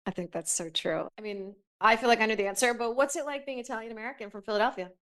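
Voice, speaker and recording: Woman podcast host; fast, slightly high, eager interviewer who cuts in. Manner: eager, curious, talks over guest.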